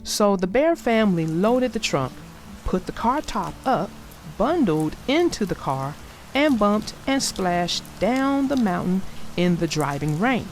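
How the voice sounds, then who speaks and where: Slow, animated woman storyteller. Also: mid-range voice, soft background music. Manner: deliberate, dramatic phrasing, addresses listeners directly. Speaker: read-aloud storyteller. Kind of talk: expressive children's story narration.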